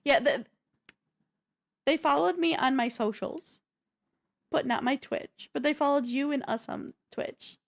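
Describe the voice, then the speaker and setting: A woman's voice: sits high, a little slow, gravelly, pauses often. Female co-host, game streamer. Informal, chatty presenting with a joking, conversational delivery. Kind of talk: casual chatty show presenting.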